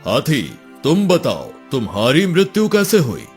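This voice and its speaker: A man's voice: fairly high pitch, wildly swooping pitch, a little slow, soft background music. A man voicing an imperious god of death holding court in a cartoon moral story, with a stately, theatrical delivery. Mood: questioning.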